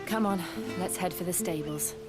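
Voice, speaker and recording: A woman playing a courteous, measured young traveler in a fantasy video game. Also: on the low side, loud music behind the voice, lightly acted. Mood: calm, polite. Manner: polite, measured, composed. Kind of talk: video game cutscene acting.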